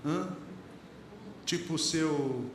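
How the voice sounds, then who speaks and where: A man's voice: a little high, extremely slow, noisy background. A man with a Brazilian Portuguese accent, a pastor preaching a sermon in a conversational way and putting questions to the congregation. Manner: conversational preaching, quotes lyrics and sayings, asks the congregation questions. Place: church hall. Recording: echoey church hall, faint ambience.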